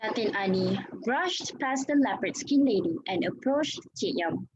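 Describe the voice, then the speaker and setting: A woman's voice: medium pitch, expressive, unhurried, dull audio, background noise. A woman narrating a story as a scripted dramatic reading.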